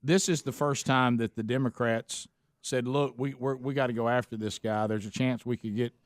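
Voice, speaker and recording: A man's voice: medium pitch, fairly even intonation, no room sound, studio quality. Man hosting call-in radio. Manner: takes calls, speaks in halting restarts. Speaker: radio show host.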